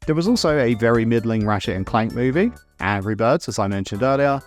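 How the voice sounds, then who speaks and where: A man's voice: mid-range voice, lively intonation, fairly quick. Guy doing video essay narration, wry n opinionated, casual asides, music underneath. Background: background music.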